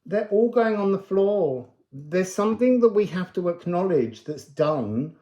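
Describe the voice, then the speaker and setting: Slow-paced, musing card reader in echoey room. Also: mid-range voice, animated, warm tone. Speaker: tarot and oracle card reader. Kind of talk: casual, rambling spiritual card reading. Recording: roomy, echoing sound.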